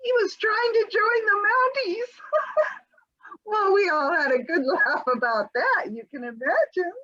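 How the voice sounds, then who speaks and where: A woman reads a breezy, evasive housewife who chatters airily. Her voice sits high and swoops widely, with a quavering, giggly edge. The sound is dull and lo-fi, like a home video call. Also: lively intonation, measured pace, pushed and bright, exaggerated voice. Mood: airy. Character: flighty, evasive middle-aged housewife, breezily unconcerned. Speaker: reader in an amateur readers theater performance. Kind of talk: comic readers theater scene read over a video call. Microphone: home video call mic.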